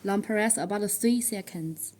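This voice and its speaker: A woman who speaks English as a second language, presenting a product tutorial in a halting, step-by-step way. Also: medium pitch, a little mellow. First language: not English. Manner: halting, step-by-step delivery with non-native phrasing.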